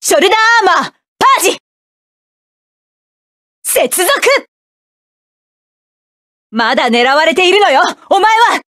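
A proud, fierce mecha pilot woman from an anime-style game, snapping out orders and cool, haughty taunts. Her voice sits very high and bright for a woman. It is recorded completely dry, with no room sound at all. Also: big pitch swings, unhurried, pauses often, no echo, exaggerated voice. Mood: fierce battle. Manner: sharp commanding battle shouts, cool haughty taunts. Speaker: voice actress for a game character. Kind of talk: game battle voice lines.

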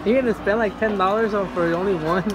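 A woman's voice: medium pitch, fast, poor audio. A woman filming her friends at a bowling alley, laughing and teasing them in casual chat. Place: noisy bowling alley and arcade, indoors. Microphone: handheld camera. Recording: loud bowling alley with music and crowd. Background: loud music and crowd.

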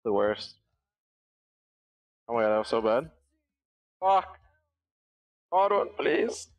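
A man's voice: mid-range voice, sing-song, slow. A young man streaming a game from a small room on a headset, chatting casually, swearing and thanking subscribers.

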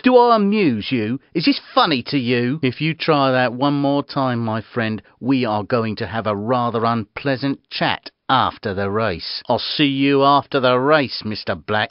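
A man's voice: no echo, close to an everyday voice. An actor voicing a cocky British street racer with gangster swagger in a video game, taunting, sardonic and mock-polite in his menace. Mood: menacing. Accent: British English. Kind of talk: video game character voice clips. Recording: muffled low-fidelity game audio.

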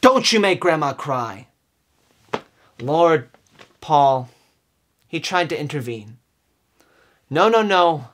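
A man's voice: a little high, big pitch swings, fairly bright tone, a little hiss or hum. Young man, theatrical and widely swooping delivery. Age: 20s. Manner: earnest, overwrought, emphatic with deliberate pauses. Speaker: comedic performer. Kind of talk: theatrical dramatic reading of song lyrics. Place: indoors, small room with curtain backdrop.

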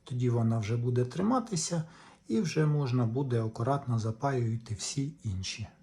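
A man talks through repair work step by step. His voice is dark and relaxed, at an ordinary pitch. He speaks methodically in short phrases, over a faint layer of background noise. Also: normal speed. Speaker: repair tutorial host. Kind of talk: unscripted step-by-step hands-on instruction.

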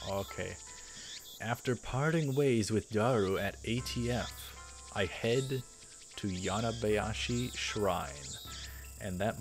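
A man's voice: on the low side, creaky. A young man hosting a let's play, reading visual novel text aloud and adding casual commentary. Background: game music.

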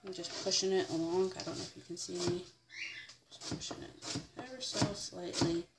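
Low-voiced young American woman, gravelly edge. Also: moderate pace. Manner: chatty, informal, narrating each step. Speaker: home cook, vlogger. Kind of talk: casual cooking demonstration. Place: home kitchen, indoors. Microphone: fixed camera on the counter. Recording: very noisy home kitchen recording with clatter. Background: kitchen clatter, people in the background.

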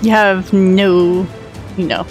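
A man's voice: sits high, steady tone, takes it slowly, over music. A young adult man giving casual, sardonic reaction commentary as a gaming streamer. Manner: joking, sardonic.

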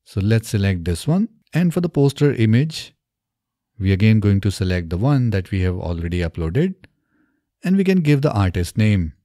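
A man presents a software walkthrough step by step in a mellow, low voice. His intonation rises and falls freely. He speaks close to the microphone in a small indoor studio. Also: sing-song, normal speed, dry recording. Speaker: tutorial presenter. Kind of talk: step-by-step software tutorial narration. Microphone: close desk microphone on an arm.

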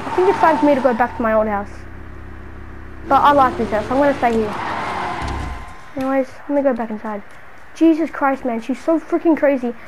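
A woman's voice: high voice, brisk, pauses often. A female gamer improvising role-play in a slangy, dramatic, exclamatory way. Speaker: gamer narrating a role-play short film. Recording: noisy home gaming recording.

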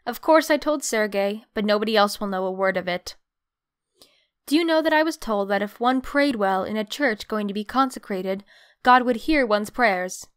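An actress playing a devout, affectionate young grand duchess, reading a letter in an earnest, confiding way. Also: female voice, medium pitch, expressive, normal speed, smooth, lightly acted. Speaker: actor in an audio drama. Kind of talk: dramatic reading of a personal letter.

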